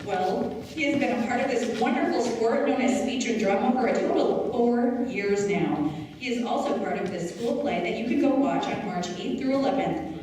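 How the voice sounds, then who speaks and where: A woman's voice: on the high side, normal speed, darkish tone, a little hiss or hum. A young woman giggling as she reads out an introduction of a performer. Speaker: presenter. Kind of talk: read-out introduction. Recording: echoey hall from far off.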